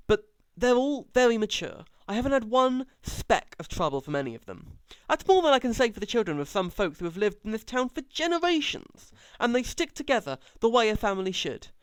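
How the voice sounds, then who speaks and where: Man putting on a high, animated small-town police dad. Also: smooth, no room sound. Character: middle-aged small-town police chief father, gruff but fond. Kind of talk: sarcastic amateur audiobook reading.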